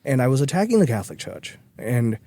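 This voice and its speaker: A man's voice: medium pitch, very animated, quick pace, mellow, no echo. A man from Malaysia, speaking earnestly as a guest in a podcast interview, with frequent restarts. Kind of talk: conversational interview.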